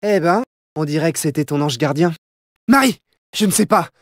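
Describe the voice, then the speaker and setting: A male actor plays a young, plucky gentleman thief in a French video game dub. His delivery is showy and cocky but loyal at heart, built on punchy catchphrases. The pitch swings in a lively way, and the sound is close and dry. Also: normal speed, no room sound, lightly acted. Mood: determined.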